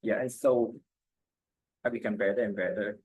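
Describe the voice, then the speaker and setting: A man whose first language is Mandarin, speaking English on a student panel haltingly, with restarts and simple phrasing. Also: lowish voice, steady tone, darkish tone, slightly rough. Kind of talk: casual panel discussion.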